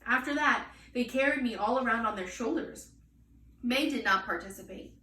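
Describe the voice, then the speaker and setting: A woman reading a children's storybook aloud in an expressive style. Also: a little high, moderate pace. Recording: echoey room, distant mic, faint noise.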